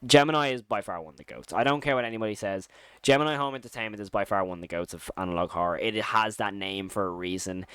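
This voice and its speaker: Clear-voiced man, casual opinionated commentary. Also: on the low side, quick pace, fairly bright tone, no echo. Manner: enthusiastic, informal slangy talk. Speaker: YouTube host ranking horror series.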